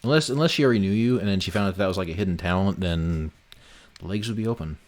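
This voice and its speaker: A man's voice: deep voice, quick pace, slight background noise. A man hosting a casual development livestream, joking and swearing, sometimes muttering to himself as he works. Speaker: livestream host and game developer. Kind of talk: casual chat while working on a game. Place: online voice call during a development stream.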